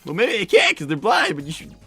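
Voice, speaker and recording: A man's voice: high voice, wildly swooping pitch, fast talker, creaky, sharp tone, breathy edge, faint music behind, heavily acted. A man voicing a flustered, defensive young cartoon fox boy with a cracking voice and a stammering, exasperated manner, in an improvised comedy livestream. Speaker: livestream co-host in character.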